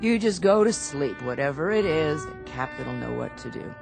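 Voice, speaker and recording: An actor playing a wry, reassuring navy doctor with a gravelly voice in a radio drama. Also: male voice, on the high side, lively intonation, normal speed, over loud music. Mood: soothing.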